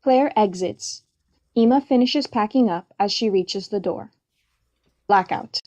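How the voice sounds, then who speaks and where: A woman's voice: mid-range voice, a little slow, darkish tone, lo-fi sound. A woman giving scripted narration, reading the stage directions of a play.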